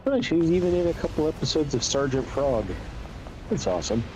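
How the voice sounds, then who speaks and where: A man's voice: a little high, lively intonation, brisk, a little mellow, rough recording. A man chatting casually as a companion on a game stream. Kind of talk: casual chat. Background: video game music.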